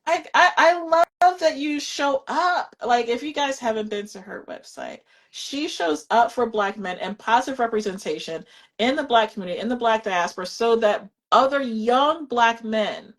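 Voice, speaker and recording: Fifties woman, live-stream host, chatty and rambling, a bit high-pitched. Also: animated, normal speed. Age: 50s. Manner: chatty, rambling with many "like" fillers. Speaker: livestream shopping host. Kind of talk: casual live chat.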